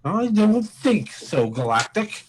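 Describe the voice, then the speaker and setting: A man's voice: high-pitched, very animated, takes it slowly, slight background noise, heavily acted. A man playing a petulant multi-armed alien villain, melodramatic and whiny, in a comic old-time radio play. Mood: defiant.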